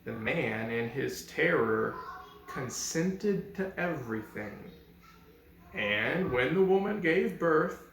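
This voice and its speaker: Male narrator, slightly high voice, lively intonation, dramatic scripted storytelling, deliberate phrasing. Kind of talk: scripted fairy-tale narration. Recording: echoey, roomy sound.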